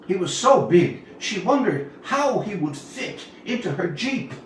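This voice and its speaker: A man of about seventy from Newfoundland, Canada, reading his own tale aloud as a storyteller, with deliberate, dramatic pauses. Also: high voice, big pitch swings. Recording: roomy, distant mic, faint background noise.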